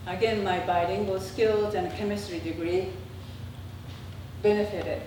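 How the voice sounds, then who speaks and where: Older female presenter, native Japanese speaker with Japanese accent, measured halting delivery, far from the mic with clear background noise. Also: mid-range voice, steady tone, very echoey. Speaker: presenter, traditional Japanese dancer. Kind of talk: prepared autobiographical slide talk.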